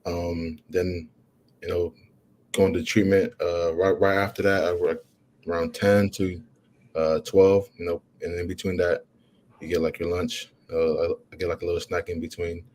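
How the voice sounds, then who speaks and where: A young man, a college football player, answering interview questions in a halting way, with many "you know" fillers and restarts. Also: sits low, little pitch movement, a little mellow, a little hiss or hum. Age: young adult.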